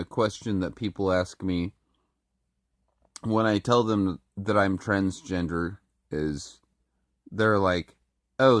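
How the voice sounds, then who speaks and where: A speaker with a deep voice who has been a little sick, talking casually to the camera in a halting way with many fillers and restarts. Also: male voice, no echo, clean studio sound. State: a little sick, throat-clearing. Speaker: vlogger.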